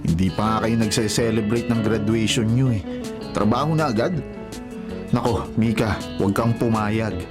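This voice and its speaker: Man as easygoing big brother, Filipino accent, fairly deep, casual and teasing, radio drama, music behind. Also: normal speed, lightly acted. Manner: breezy, casual, ribbing.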